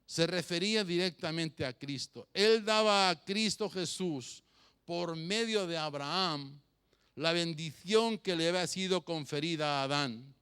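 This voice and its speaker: High-pitched, clear-voiced man preaching. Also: a little slow, a bit bright. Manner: emphatic, repeats phrases for effect; rhetorical questions addressed to listeners. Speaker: pastor preaching to a congregation. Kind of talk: sermon.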